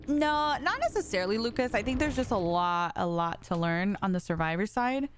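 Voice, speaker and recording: A woman in her late twenties, talking casually as a live streamer in the middle of a game. She chats freely, reads her viewers' messages aloud and answers them as she plays. Her pitch is average for a woman, and clear background music plays under her voice. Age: 20s. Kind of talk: casual live-stream chat while gaming.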